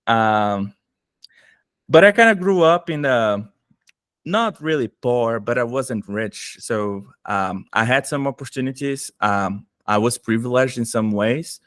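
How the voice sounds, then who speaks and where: A middle-aged man from Brazil with a Brazilian Portuguese accent, talking eagerly as a podcast guest and often pausing to search for words. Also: mid-range voice, animated, a bit bright, dry recording, clean studio sound. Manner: enthusiastic, searching for words, frequent fillers. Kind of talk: casual interview conversation.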